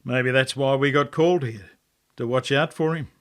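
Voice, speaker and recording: A man voicing a calm, wise old grandfather in a story, with an Australian accent and terse, grave, plain statements. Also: medium pitch, normal speed, lightly acted. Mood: gently musing.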